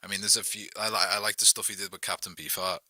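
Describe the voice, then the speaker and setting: Bright, deep-voiced Liverpool man chatting. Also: level tone, fast talker, no echo. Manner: loose, filler-heavy, lots of "like" and "you know what I mean". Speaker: songwriter guest. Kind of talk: casual chat.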